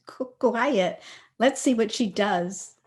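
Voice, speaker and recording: An actress as a scoffing, unhurried young woman. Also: fairly high pitch, expressive, a little mellow. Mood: scornful. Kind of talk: old-time radio acting.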